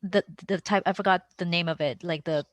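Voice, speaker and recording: A woman's voice: fairly low pitch, steady tone, fairly quick, no echo. A woman hosting a podcast, asking questions in casual interview chat. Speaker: podcast host.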